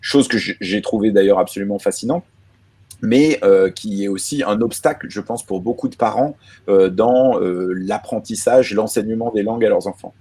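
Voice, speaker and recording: Calm low-voiced man on a video call. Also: husky. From Lebanon. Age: 30s. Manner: reflective, measured explanations. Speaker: author guest. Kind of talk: conversational interview answers. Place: home in the evening, remote.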